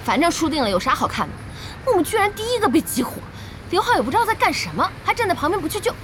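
A woman playing a lively, bossy young gaming teammate in an audio drama, expressive and quick to chide. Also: very high voice, a little hiss or hum, exaggerated voice. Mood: impatient, annoyed. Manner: expressive, chiding, exclamatory.

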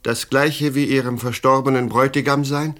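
An actor playing an earnest, ambitious detective in a German radio play, speaking formally and with urgency. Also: male voice, medium pitch, steady tone, moderate pace, fairly bright tone, natural voice. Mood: tense, hopeful. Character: earnest, ambitious male detective courting a woman.

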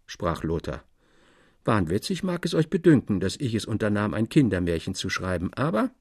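A man narrating a literary tale in a theatrical, expressive style, acting out the dialogue as he goes. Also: fairly low pitch, husky, no room sound, clean studio sound.

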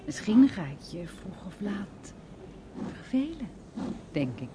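A woman in a radio play, hesitant and affectionate. She takes her time over brief, halting replies in a medium-pitched voice, with clear noise behind her. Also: sing-song. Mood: tender, teasing. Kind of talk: radio drama acting, naturalistic dialogue. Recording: clearly noisy background.

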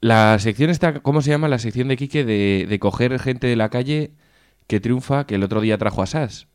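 A man's voice: mid-range voice, fairly quick, a little mellow, clear, resonant tone, dry recording, studio quality. A man with an accent from Spain co-hosts a casual radio chat show in an informal, jokey way, swearing freely. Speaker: co-host of a music and chat show.

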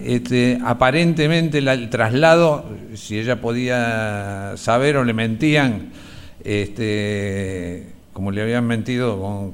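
Argentine man, clear voice, halting testimony. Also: mid-range voice. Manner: halting, searching for words. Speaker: interviewee, relative giving testimony. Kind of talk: spoken documentary testimony.

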